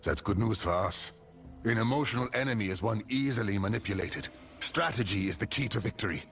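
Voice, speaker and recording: A man's voice: on the low side, a little fast, fairly bright tone, faint music behind. A man voicing a calculating, solemn military leader in a video game. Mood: cool, calculating.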